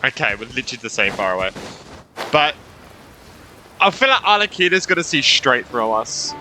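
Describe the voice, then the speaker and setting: A young man giving casual let's-play commentary, excitable and rambling in asides as he streams a game. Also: medium pitch, normal speed, slight background noise. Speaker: gaming streamer.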